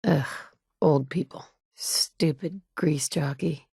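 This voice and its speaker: A woman's voice: sits low, slow, vocal fry, dark tone, husky, dry recording, heavily acted. A woman playing a determined young mother surviving in a wasteland, with earnest, dry, conversational delivery in video game dialogue. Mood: irritated, sharp. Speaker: video game player character.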